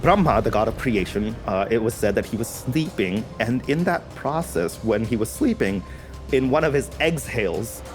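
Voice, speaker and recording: A man's voice: mid-range voice, expressive, normal speed, music in the background. A man from India who is a podcast guest, explaining in casual conversation with a thoughtful, self-correcting manner.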